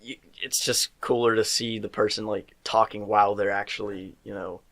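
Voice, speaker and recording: A man's voice: fairly low pitch, flat delivery, normal speed, a bit bright. A teenage man hosting a casual podcast, rambling with lots of fillers and restarts. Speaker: podcast co-host.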